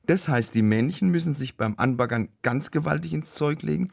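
A man with a cold and a rough, husky voice, explaining things as a biologist guest on a radio show. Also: a little high, brisk. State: has a cold. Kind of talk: explanatory chat.

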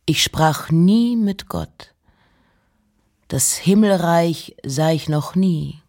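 A woman giving a literary reading of poems and letters with measured, deliberate phrasing. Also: fairly low pitch, a little mellow.

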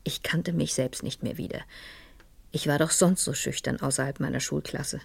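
An actress playing a shy but determined schoolteacher turned amateur sleuth in a radio play, speaking politely and persuasively. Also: female voice, low voice, fairly even intonation, normal speed, creaky, husky, full character voice. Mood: reflective narrating. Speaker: narrator and lead character. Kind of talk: 1970s German radio play acting.

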